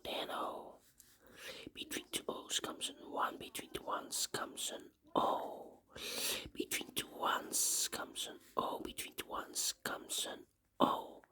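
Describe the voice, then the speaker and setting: A puzzle instructor who has lost their voice, whispering hoarsely while talking through a puzzle solution and repeating rule phrases while counting cells aloud. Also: bright, forceful tone.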